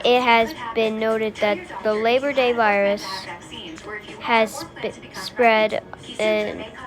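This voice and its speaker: A woman reading a scripted police emergency announcement in an amateur, halting way, repeating and restarting phrases. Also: a little high, level tone, music in the background. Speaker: police spokesperson in a mock emergency broadcast. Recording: clear crowd noise behind voice.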